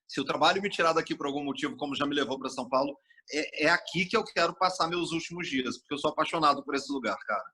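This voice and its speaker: Animated Brazilian journalist, husky bright voice. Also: male voice, a little high, fast talker. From Brasília, Brazil. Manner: expansive, animated storyteller, acts out quoted speech. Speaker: TV journalist, interview guest. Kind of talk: casual interview talk.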